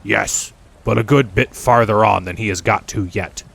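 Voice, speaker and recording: A man voicing a gruff, bossy older goblin father with a rough, deep voice in a storybook reading. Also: normal speed, fairly bright tone, exaggerated voice. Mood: gruff, unhurried.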